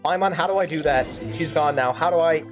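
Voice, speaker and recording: A woman's voice: low voice, fairly quick. A woman streaming a game, improvising fan-dub commentary in a wry, sardonic, rambling way. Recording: faint game music behind. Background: faint game music.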